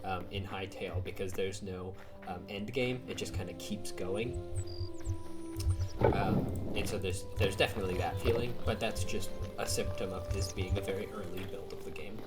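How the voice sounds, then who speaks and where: A man, sick and raspy-voiced, casually commenting on a game as he plays, rambling with many ums and likes. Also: low voice, fairly even intonation, normal speed. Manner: rambling, hesitant, lots of um and like. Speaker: gaming YouTuber. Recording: quiet voice under loud game music. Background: loud game music.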